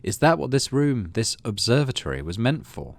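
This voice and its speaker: A man's voice: medium pitch, normal speed, gravelly, no echo. A man hosting a gaming channel, reading game narration aloud expressively and adding casual commentary. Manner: expressive storyteller reading with asides.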